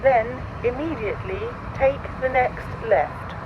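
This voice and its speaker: A calm female sat-nav voiced by a woman, fairly high and very unhurried. Each instruction is impassive and measured. It sounds muffled and lo-fi, like a small device in an audio drama. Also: lightly acted. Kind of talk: audio drama navigation prompts. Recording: dull lo-fi speaker, faint car noise.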